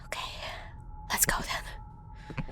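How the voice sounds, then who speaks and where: A woman playing a frightened, protective girlfriend in a horror roleplay, speaking in a hushed, hesitant, breathy whisper. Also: extremely slow. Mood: hushed, nervous fear. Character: frightened, protective girlfriend lost in a haunted hospital. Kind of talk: roleplay horror audio drama.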